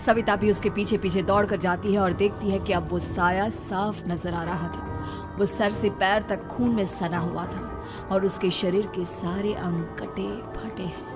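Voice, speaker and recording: Female narrator reading a scary cartoon story, scripted storytelling, loud music behind. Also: medium pitch, steady tone, a little slow.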